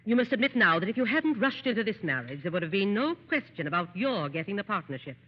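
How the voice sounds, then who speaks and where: A woman's voice: medium pitch, very animated, fast talker, slight background noise, close to an everyday voice. An actress playing a disapproving, self-pitying middle-aged mother-in-law, prim and reproachful, in old-time radio drama style. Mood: wounded, reproachful. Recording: muffled old radio, hall reverb.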